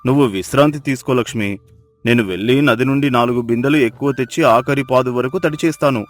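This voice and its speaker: A man's voice: medium pitch, a little fast, faint music behind, lightly acted. A man voicing a hardworking, devoted village husband, tender and admiring, in a moral story. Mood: caring.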